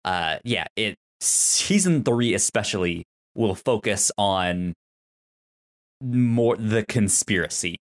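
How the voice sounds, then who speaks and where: Guy with kinda low voice, stutters on syllables, halting but really into it, casual podcast chat. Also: animated. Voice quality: stutter, repeating sounds and syllables. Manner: halting, enthusiastic. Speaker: podcast co-host. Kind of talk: casual TV show discussion.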